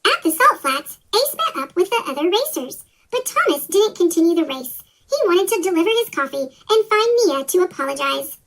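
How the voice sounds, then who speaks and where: A woman reading a children's story aloud as scripted narration, her voice sped up with a chipmunk pitch-shift effect. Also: very animated, normal speed, fairly bright tone, rough recording.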